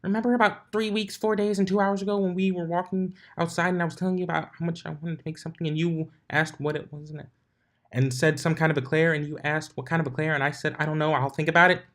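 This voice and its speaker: A man voicing a hyperactive, bubbly pink female pony who talks in excitable run-on rambles. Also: on the high side, quick pace, pitched above the performer's own voice. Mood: breathless excitement.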